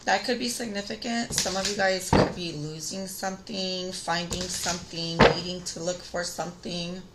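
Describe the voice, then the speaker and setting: A woman in her thirties with a raspy, tired voice, chatting casually to viewers as she reads tarot cards. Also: on the low side, fairly even intonation, moderate pace, very noisy. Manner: chatty, talks straight to viewers. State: tired voice from singing. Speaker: tarot reader. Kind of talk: casual spiritual reading.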